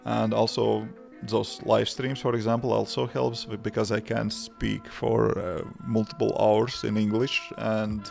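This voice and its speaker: A man in his thirties with a Latvian accent, a developer chatting casually on a live stream in simple, halting English with many restarts. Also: lowish voice, little pitch movement, gravelly, darkish tone, soft background music. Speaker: livestreaming developer answering chat.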